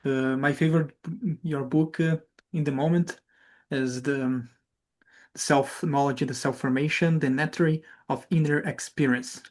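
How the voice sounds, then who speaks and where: Halting Brazilian-accented male interviewer. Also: mid-range voice, steady tone. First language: Brazilian Portuguese. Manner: halting, non-native English. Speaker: interviewer, host. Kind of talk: interview, partly reading prepared questions.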